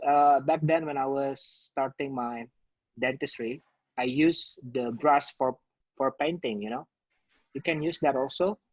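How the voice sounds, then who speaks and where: A man's voice: medium pitch, no echo, muffled recording. A man from Indonesia, a dentist answering questions in a webinar with loose "you know" asides. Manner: explains at length with frequent "you know" fillers. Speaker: dentist presenter. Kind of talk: webinar Q&A explanation.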